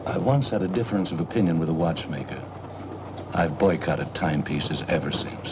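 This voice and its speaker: An actor playing a courteous, cryptic older seer in a 1940s noir film, speaking in a formal, measured, enigmatic way. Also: male voice, rumbling low, noisy background. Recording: dull old film soundtrack with music behind.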